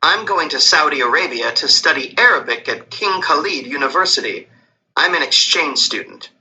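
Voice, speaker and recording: A man's voice: a little high, animated, normal speed, sharp tone, poor audio. A man voicing a polite, eager young exchange student in a scripted textbook dialogue.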